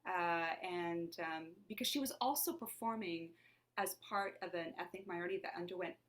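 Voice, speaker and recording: A woman's voice: fairly low pitch, normal speed, a bit bright. A woman born in Lebanon whose first language is Armenian, an actor and playwright talking in an interview in a thoughtful, searching way. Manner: thoughtful, searching, asks herself questions aloud. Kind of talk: conversational interview.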